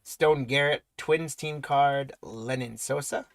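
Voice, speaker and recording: A man hosting a live trading card break, rattling off player names and congratulating viewers, his voice going hoarse. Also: medium pitch, takes it slowly, no room sound. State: voice weakening, losing it.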